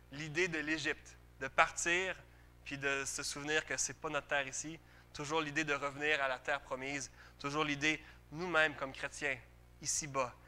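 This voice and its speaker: A man delivers a sermon in a Quebec French accent. His voice sits a little high and has a very bright, forceful edge. He talks to the congregation conversationally, stressing key phrases through repetition and rhetorical questions. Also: smooth. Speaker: preacher.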